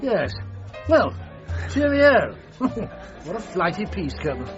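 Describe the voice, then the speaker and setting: A man playing a breezy, condescending upper-class English gentleman in a radio drama, with a jovial, exclamatory manner. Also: sits high, sing-song, slow, exaggerated voice. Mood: playful, breezy. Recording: muffled radio drama with music behind.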